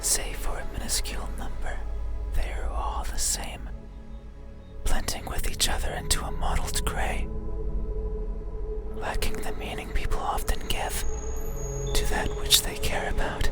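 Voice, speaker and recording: A man as a slow, whispering horror-game monster. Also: loud music behind the voice, lightly acted. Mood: melancholy, empty. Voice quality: breathy unvoiced whisper. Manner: slow, deliberate, formal, brooding. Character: eerie lonely bedside monster, pleading, resentful, emotionally hollow.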